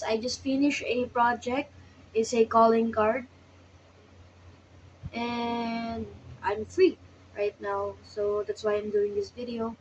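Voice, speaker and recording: A young woman with a Filipino accent talks to herself at a slow, halting pace, in a slightly high voice. She sounds sleepy and self-conscious. The audio is dull and lo-fi, with a faint hum of room noise behind her. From Philippines. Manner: hesitant, self-conscious, restarts and laughs at herself. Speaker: vlogger. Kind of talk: casual self-talk vlog practicing English. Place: small workplace counter, indoors. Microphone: phone held nearby.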